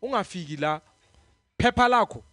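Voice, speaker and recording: A man's voice: high-pitched, expressive, moderate pace, fairly bright tone, faint music behind. A young man, a filmmaker guest on a podcast, speaking earnestly and reflectively about his work. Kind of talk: casual chat. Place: small studio room. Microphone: stand mic.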